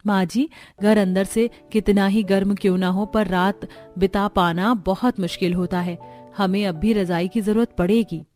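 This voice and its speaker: A woman plays a kind, resourceful young village daughter-in-law in a cartoon tale. Her mid-pitched voice is earnest and caring, with music behind it. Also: steady tone, normal speed, a little mellow, lightly acted. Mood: practical. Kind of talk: cartoon story voice acting.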